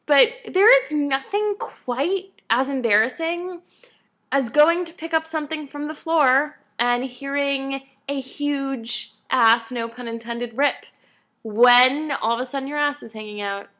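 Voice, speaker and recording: A woman's voice: high-pitched, unhurried, fairly bright tone. A woman in her late twenties, a tired vlogger chatting casually and self-deprecatingly to camera. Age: 20s.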